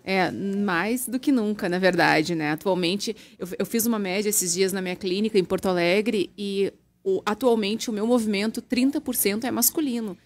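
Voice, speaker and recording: A woman dermatologist from southern Brazil, guesting on a radio show and calmly explaining skin care. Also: medium pitch, moderate pace, hardly any pauses. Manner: calm, explanatory. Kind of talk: radio interview. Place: radio studio.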